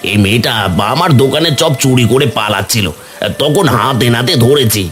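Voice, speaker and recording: A man plays a suspicious, miserly cartoon shopkeeper. He talks in a mid-range pitch, gruff and scolding, like someone who never gives credit. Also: expressive, normal speed, music in the background, close to an everyday voice. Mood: indignant. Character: stingy, suspicious fritter seller, bullying man.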